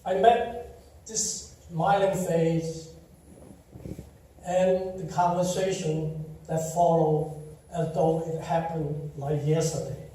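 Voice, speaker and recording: An older man with a Chinese accent, Taiwanese his first language, giving a slow, warm eulogy full of gentle storytelling at a memorial service. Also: on the high side, little pitch movement. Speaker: eulogy speaker. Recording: echoey hall, distant mic.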